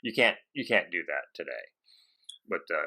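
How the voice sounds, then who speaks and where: A man with a fairly low-pitched voice and a bright, forceful edge. He speaks as a casual interview host, conversational and given to anecdote, working through his thoughts aloud. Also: expressive, moderate pace. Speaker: podcast host interviewing a radio operator.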